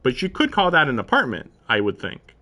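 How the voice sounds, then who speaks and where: A man's voice: mid-range voice, wildly swooping pitch, brisk, sharp tone. A man live-streaming, reading a story aloud and adding informal, questioning commentary of his own. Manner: informal, questioning asides between read passages. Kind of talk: reading narration aloud with casual, puzzled running commentary.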